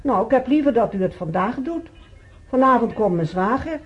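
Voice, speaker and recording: High-voiced, demanding woman patient played by an actress. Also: lively intonation, moderate pace, soft background music, natural voice. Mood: needling. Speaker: actress in a radio serial. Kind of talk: naturalistic radio play acting. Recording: dull lo-fi radio drama recording.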